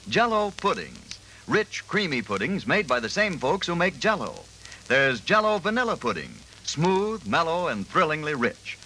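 A man in 1940s radio announcer style, selling with polish and emphasis. His voice is fairly high and bright, with lively movement and faint broadcast noise. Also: low-quality recording. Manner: emphatic, polished sales delivery.

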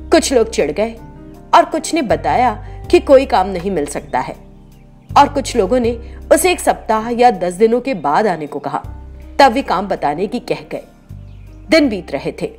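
A woman's voice: medium pitch, very animated, measured pace, a little mellow, soft background music. A woman reading a scripted story as narrator.

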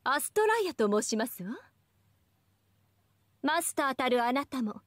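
A woman's voice: high voice, big pitch swings, measured pace, no echo. An actress voicing a proud, elegant young goddess in an anime game, with pompous, ornate ladylike speech. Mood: solemn, proud.

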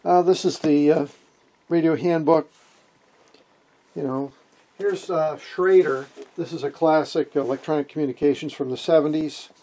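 An older man hosts an informal hobby electronics lesson. His voice is husky and sits a little high for a man. He explains things in a folksy, wry way. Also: steady tone, moderate pace, dark tone. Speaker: amateur radio hobbyist, video host. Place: small wood-panelled room indoors.

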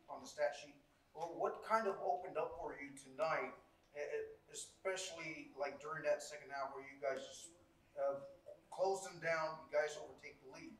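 A man's voice: a little high, a bit bright, recorded from a distance. A male reporter asking questions at a press conference, hesitating with frequent "uh"s. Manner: hesitant, many "uh"s. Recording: echoey room, off-mic.

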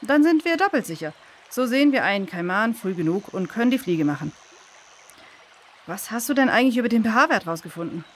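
A woman playing a calm, confident river researcher in a children's audio drama, matter-of-fact and reassuring. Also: fairly high pitch, a little fast.

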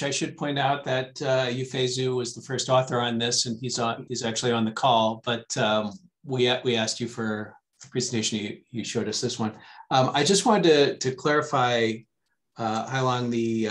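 A middle-aged man hosts an online science meeting. His pitch is average and his style is informal, as a moderator handing over between speakers on a video call. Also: a bit bright.

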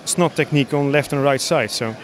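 A man in his thirties from the Netherlands with a Dutch accent, explaining his hobby builds in a casual, halting interview. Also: mid-range voice. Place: large busy exhibition hall, indoors. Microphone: handheld interview microphone.

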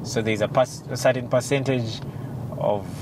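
A man in his forties with a Ugandan English accent, a law firm partner explaining things in a measured way with many fillers, interviewed in a moving car. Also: steady tone. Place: passenger seat of a car. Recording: loud car road noise. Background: engine and road rumble.

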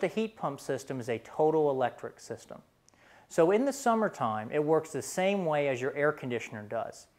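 A man in his thirties with an American accent presents a scripted explainer in a plain, instructional way. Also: fairly high pitch. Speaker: presenter for a home heating and cooling company.

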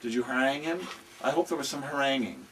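A man's voice: medium pitch, fast, a bit bright, far from the mic, a little hiss or hum, rough recording. Dry-witted Irish man, heard from afar. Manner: dry, wry, joking. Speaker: director and producer. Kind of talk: casual chat on set. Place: living room of a large house.

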